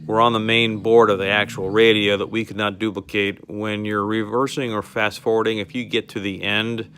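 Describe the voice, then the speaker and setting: A man's voice: lowish voice, little pitch movement, normal speed, a bit bright, faint music behind. A man giving an informal, step-by-step product demonstration to a customer, explaining how a piece of equipment works. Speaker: car radio restorer demonstrating a converted radio.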